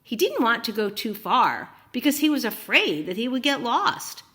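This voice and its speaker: Animated, bright-voiced woman storyteller for children. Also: fairly high pitch, normal speed. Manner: animated, encouraging, interactive. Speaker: storytime host reading to young children. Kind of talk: read-aloud storytelling with questions to children.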